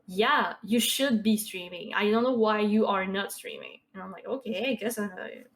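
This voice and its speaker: A woman in her twenties with a Québécois French accent, a guest on a podcast, chatting casually with many fillers and soft laughs. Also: medium pitch, big pitch swings, moderate pace, vocal fry. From Quebec. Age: 20s. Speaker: podcast guest, streamer and artist. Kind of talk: casual interview chat.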